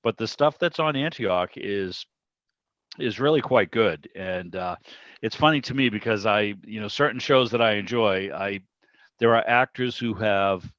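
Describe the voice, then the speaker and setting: A man's voice: lowish voice, a little slow, a bit bright, dry recording. A man hosting a club video meeting, chatting casually and wandering from one thought to the next. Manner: rambling, digressive, conversational. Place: remote video call. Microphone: video call microphone. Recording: dull, muffled video-call audio.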